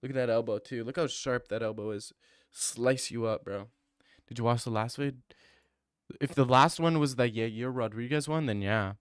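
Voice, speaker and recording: A man's voice: mid-range voice, normal speed, clear, resonant tone, dry recording, studio quality. A young man streaming and answering viewer comments in a casual, teasing way, full of mock bravado.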